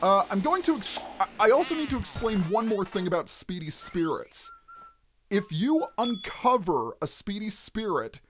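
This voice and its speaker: A man hosting a let's play, explaining the game in an expressive, instructional way. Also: high-pitched, normal speed, slight background noise.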